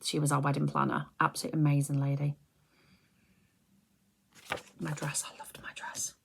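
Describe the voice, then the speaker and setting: A middle-aged woman, chatting softly and rambling on a close mic for an ASMR video, often slipping into whispers. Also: sits low, flat delivery, normal speed, creaky, slight background noise. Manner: soft-spoken, drifting into whispers, chatty and rambling. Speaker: ASMR creator. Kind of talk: soft-spoken ASMR chat.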